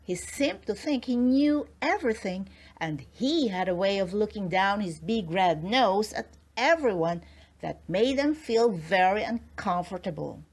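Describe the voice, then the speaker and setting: A woman's voice: mid-range voice, animated, measured pace. A woman narrating a children's storybook in a scripted read-aloud style.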